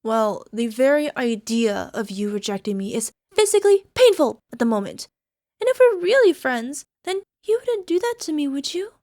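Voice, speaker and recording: A woman's voice: sits high, sing-song, moderate pace, darkish tone, dry recording, clean studio sound. A woman playing a lovesick, possessive young alchemist in an ASMR girlfriend roleplay, speaking in a coy, coaxing, sweetly menacing way. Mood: hurt, insistent. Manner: coy, coaxing, giggly, tag questions. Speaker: voice actor.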